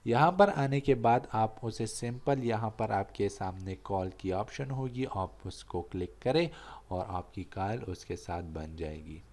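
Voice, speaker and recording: A man's voice: on the low side, lively intonation, unhurried, a little mellow. Man presenting a tech tutorial. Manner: addresses viewers as friends, explanatory and repetitive. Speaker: tech channel host. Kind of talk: app review and step-by-step tutorial.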